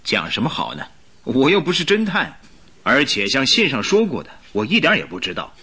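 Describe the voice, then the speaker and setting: An actor playing a domineering, haughty, sturdy business magnate in a radio drama, speaking curtly and with authority. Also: male voice, mid-range voice, huge pitch swings, moderate pace, sharp tone, husky, full character voice. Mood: irritated. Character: domineering, sturdy, lion-like male gold-mine tycoon.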